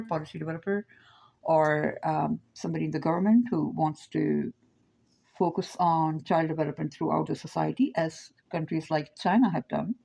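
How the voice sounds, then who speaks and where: Woman, speaker, gravelly timbre; loose, discursive delivery, checks in with the listener often. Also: low voice, dark tone. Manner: discursive, thinking aloud with frequent fillers and tag questions. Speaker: speaker presenting her child development framework. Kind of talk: informal lecture.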